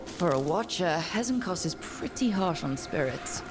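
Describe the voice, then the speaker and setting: An actress playing a curious, plain-spoken adventurer heroine in a fantasy video game, direct and conversational. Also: female voice, lowish voice, lively intonation, normal speed, lightly acted. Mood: probing. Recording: game music playing behind.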